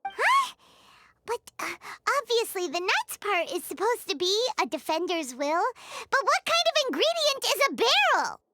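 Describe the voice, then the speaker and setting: A woman voicing a small, excitable, cheeky fairy companion who talks in exclamations in an anime game. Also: extremely high pitch, moderate pace, background noise, full character voice. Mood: baffled, exasperated. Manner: speaks of self in third person, exclamatory.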